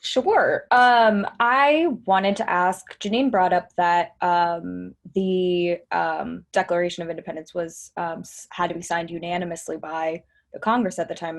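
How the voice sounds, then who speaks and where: A woman's voice: lowish voice, gravelly. A woman panelist putting a question to a guest in a hesitant, casual way.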